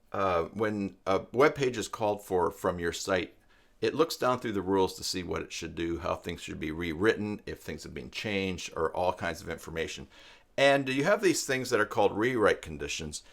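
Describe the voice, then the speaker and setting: A man with a fairly low voice teaches in a live online tutorial. He talks off script, walking through steps one at a time, often halting and rephrasing as he thinks aloud. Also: a bit bright. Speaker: live stream host, web tutorial teacher. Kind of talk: unscripted live tutorial, explaining step by step.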